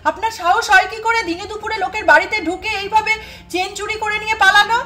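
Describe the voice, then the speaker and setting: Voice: extremely high pitch, steady tone, fast, bright, forceful tone. A woman voicing a bold, scolding young village aunt in a doll story, with an emphatic manner. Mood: indignant. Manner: indignant, emphatic.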